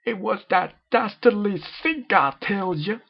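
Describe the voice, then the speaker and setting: A man's voice: sits high, animated, a little slow, creaky, husky, pitched above the performer's own voice, exaggerated voice. A man voicing a brash, defensive young female pegasus pony, in a dull lo-fi home recording. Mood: indignant, flustered.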